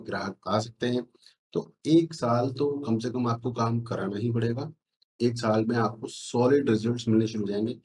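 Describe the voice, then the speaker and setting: A middle-aged man giving a self-assured screen-share sales pitch as a consultant, repeating phrases for emphasis. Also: darkish tone. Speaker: SEO consultant. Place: indoor room, screen recording with webcam.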